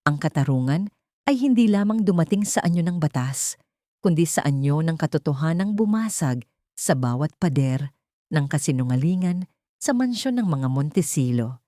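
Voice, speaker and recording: A woman with a Filipino accent reading a dramatic story as an audiobook narrator, in a solemn, measured way. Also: deep voice, expressive, dark tone, no echo, clean studio sound.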